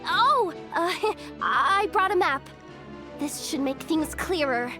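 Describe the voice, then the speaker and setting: A woman's voice: very high voice, animated, slow pace, music in the background, exaggerated voice. An actress voicing a nervous young female knight messenger who stammers and giggles. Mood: flustered.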